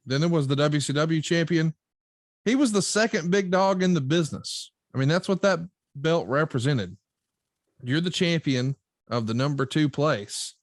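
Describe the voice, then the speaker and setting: A man's voice: fairly high pitch, moderate pace, no room sound, studio quality. A man hosting a podcast in a casual, conversational style, explaining things with a wry touch. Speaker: podcast host reading listener questions.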